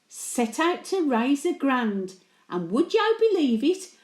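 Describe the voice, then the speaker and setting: A woman's voice: fairly high pitch, dark tone, roomy sound. A woman playing a blunt, antisocial elderly Birmingham widow, telling chatty stories in local dialect as a dramatic monologue. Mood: admiring. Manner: blunt, grumbling, colloquial dialect. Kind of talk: dramatic monologue, chatty storytelling to late husband.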